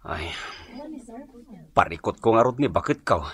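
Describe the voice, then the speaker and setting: A man's voice: lowish voice, expressive, a little slow, a bit bright, husky, no room sound, heavily acted. A man playing an exasperated, worried husband in a radio comedy drama, complaining plaintively with an Ilocano accent. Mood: troubled.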